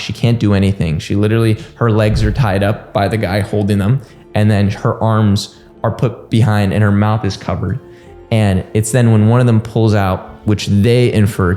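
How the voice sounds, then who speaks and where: A man in his twenties recounting a story informally to camera in an earnest, deliberate way, recorded indoors. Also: fairly low pitch, dark tone. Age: 20s. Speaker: host of a documentary channel. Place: small indoor room at home. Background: background music.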